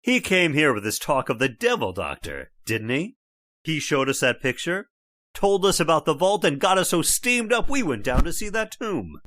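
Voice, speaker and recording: Guy doing a blustery know-it-all dad, old radio drama style, pitch jumps all over, dry sound. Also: sing-song, moderate pace, no room sound. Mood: stubborn, scornful. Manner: forceful, scornful, dismissive. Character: stubborn skeptical middle-aged father, blustering.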